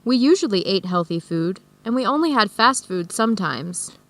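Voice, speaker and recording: A woman playing a friendly young American in a radio drama, explaining things clearly. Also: mid-range voice, animated, normal speed, no echo, lightly acted. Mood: reassuring, explaining. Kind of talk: scripted radio drama acting.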